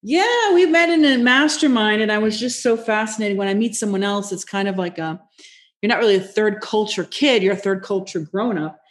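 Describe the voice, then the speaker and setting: A Norwegian-born woman hosting an interview podcast, bilingual, with enthusiastic, quick exclamations. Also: medium pitch. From Norway. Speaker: podcast host.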